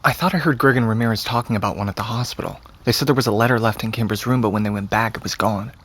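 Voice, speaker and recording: An actor playing a stubborn, anxious teenage son in an audio drama, speaking in a terse, dry, questioning way. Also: male voice, lowish voice, rapid-fire, fairly bright tone, breathy edge. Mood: earnest, probing. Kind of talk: naturalistic audio drama acting.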